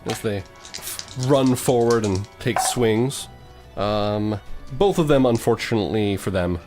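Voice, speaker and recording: A man narrating a tabletop game as game master, improvising in a halting way. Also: on the low side, over music.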